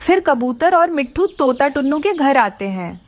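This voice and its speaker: A woman's voice: high-pitched, sing-song, normal speed, dry recording. A woman narrating a scripted children's story.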